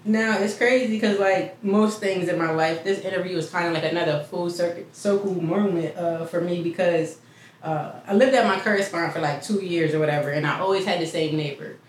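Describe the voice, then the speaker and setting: A woman's voice: lowish voice, fairly quick. A woman hosting a casual podcast interview, telling stories loosely and laughing along. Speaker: podcast host. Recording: echoey room, distant mic, faint hiss.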